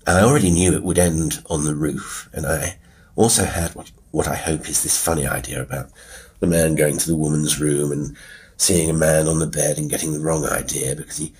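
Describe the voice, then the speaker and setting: Deep, very husky British man. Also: fairly even intonation, normal speed, a little mellow. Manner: self-deprecating, rambling, wry. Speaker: horror podcast host and writer. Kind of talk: informal solo podcast commentary. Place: quiet room with a piano at work. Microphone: wireless Bluetooth mic into a phone.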